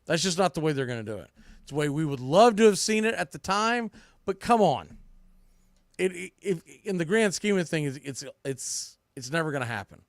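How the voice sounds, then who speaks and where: A man's voice: a little high, big pitch swings, normal speed, no echo, studio quality. A man hosting a sports podcast solo, giving opinionated baseball commentary in a blunt, colloquial way and repeating phrases for emphasis.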